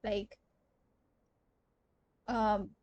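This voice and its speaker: A woman's voice: medium pitch, flat delivery, extremely slow, a little mellow, no room sound, dull audio. A young woman chatting casually on a game livestream, hesitant and rambling, often trailing off. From Malaysia. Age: young adult. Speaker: game streamer. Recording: game music behind the voice.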